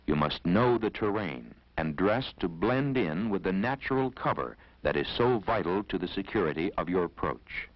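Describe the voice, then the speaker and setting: A man with an American accent narrating an instructional film in a formal, measured, authoritative way, on a vintage 1970s soundtrack. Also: low-pitched, expressive, no room sound, dull audio.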